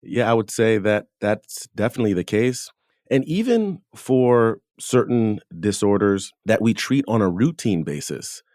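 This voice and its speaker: A man's voice: on the low side, a little mellow, no room sound, clean studio sound. A man hosting an academic interview podcast, talking conversationally and reflectively as he builds on points in the discussion. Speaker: podcast host, dermatologist.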